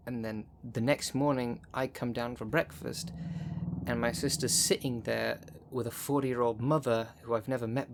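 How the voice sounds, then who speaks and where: A man's voice: medium pitch, creaky, a little hiss or hum, lightly acted. A man playing a jaded, sardonic thirty-two-year-old witch in an ASMR roleplay, talking in a dry, sarcastic, conversational way. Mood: sardonic reminiscing. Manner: dry, sarcastic, sweary, sighing.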